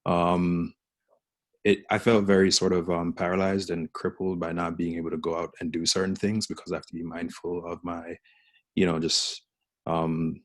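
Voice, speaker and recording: A young man from Jamaica, an artist and teacher, introducing himself on a video call panel, reflective and halting. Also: deep voice, dry recording.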